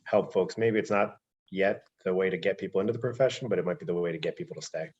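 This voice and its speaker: A man's voice: low voice, quick pace, darkish tone. A man moderating an online panel discussion, putting thoughtful questions in a conversational way. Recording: dull lo-fi video-call audio.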